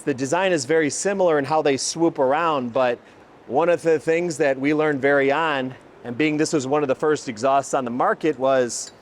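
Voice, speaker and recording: A man's voice: a little high, a little hiss or hum. A man hosting an automotive video, presenting and explaining in an emphatic, enthusiastic way. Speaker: host, exhaust shop builder. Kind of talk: presenting car exhaust comparison, part scripted, part explanatory.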